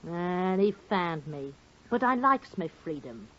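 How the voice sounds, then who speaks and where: Slow talking country wife, gossipy n indignant, old radio drama, muffled sound. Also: female voice, on the low side, sing-song. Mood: proud, indignant. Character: talkative rustic English wife, wronged, sweetly indignant. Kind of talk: 1950s radio drama acting.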